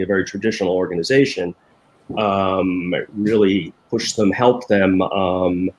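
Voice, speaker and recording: A man's voice: sits low, a little mellow, echoey room, a little hiss or hum, low-quality recording. An older man, a former newspaper design director, answering interview questions in a hesitant way full of ums and restarts over a video call.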